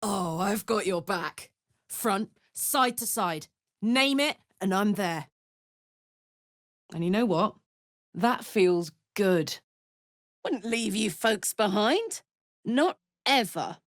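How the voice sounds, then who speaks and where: A woman's voice: medium pitch, expressive, takes it slowly, long pauses, no echo, studio quality. An actress voicing a brash, big-hearted young female warrior in a video game, blunt and swaggering, tough yet playful and emotionally open. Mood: upbeat, loyal. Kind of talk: video game character voice lines.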